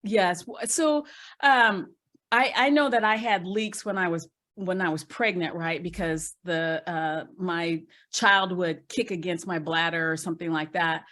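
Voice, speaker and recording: A woman's voice: fairly low pitch, fairly even intonation, unhurried, a bit bright. Chatty woman hosting a live interview. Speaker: host interviewing a guest. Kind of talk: casual live online interview.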